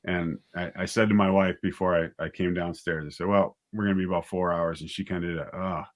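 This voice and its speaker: A man with a lowish voice speaks as a guest in a casual interview. He is a director who tells stories conversationally, often acting out bits of what was said. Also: steady tone, a little fast. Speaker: guest, audio drama writer and director.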